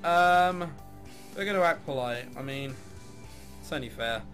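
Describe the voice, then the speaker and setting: A man's voice: a little high, unhurried, a bit bright, faint music behind. A man doing a let's play of a visual novel, narrating and throwing in sarcastic, mock-exasperated asides. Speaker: gamer reading and commenting on a visual novel.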